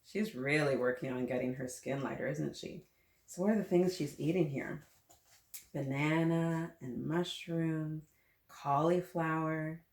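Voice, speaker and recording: A woman with a fairly low voice hosts a children's story time at an unhurried pace. She reads aloud in a gentle, encouraging way, adding asides and questions for young listeners. The room gives her voice a noticeable echo. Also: creaky.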